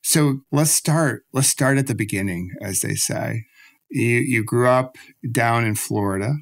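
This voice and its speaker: A man hosts a podcast interview from his home office. He talks at an ordinary middle pitch, relaxed and chatty, and keeps the conversation loose with casual fillers as he draws his guest out. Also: measured pace, creaky. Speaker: podcast host.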